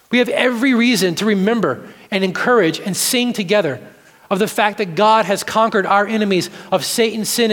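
Guy preaching a sermon, pretty high voice, earnest and repeats himself for emphasis, long echo of a big room. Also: a bit bright. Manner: earnest, deliberate phrasing with repetition for emphasis. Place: large reverberant room, church service. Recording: live sermon in reverberant hall.

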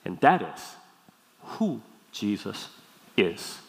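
A man, a native Korean speaker with a Korean accent, delivers a teaching sermon as a pastor, phrasing deliberately, repeating key words and checking in with questions. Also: lowish voice, sing-song, creaky. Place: large hall, speaking to a congregation. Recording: long hall reverb.

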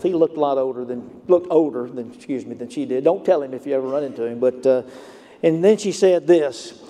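A man with a Lower Alabama Southern accent preaching a sermon, moving from storytelling to emphatic points. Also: warm tone, long reverb tail. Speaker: pastor.

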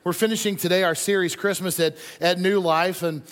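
Male pastor, high-pitched voice, chatty informal sermon delivery with repeated phrases, long hall reverb. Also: fairly even intonation, fairly quick. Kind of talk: conversational sermon to a congregation. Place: large indoor church hall.

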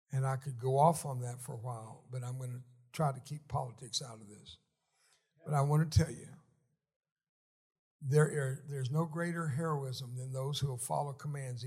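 A man in his seventies giving a folksy, joking testimony sermon while seated in a church, often halting and restarting. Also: mid-range voice, gravelly, darkish tone, breathy edge. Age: 70s. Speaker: guest speaker at a church.

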